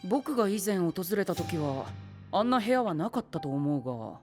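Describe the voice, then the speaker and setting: Princely youth, dignified, formal, very varied intonation, polite, anime audio drama. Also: male voice, high voice, sing-song, normal speed, soft background music. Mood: formal, observant. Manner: stiff gentlemanly speech sprinkled with English words.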